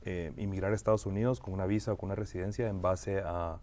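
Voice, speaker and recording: A man from Guatemala, an immigration lawyer, answering interview questions in a measured way with frequent fillers and restarts. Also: sits low, steady tone, a little mellow.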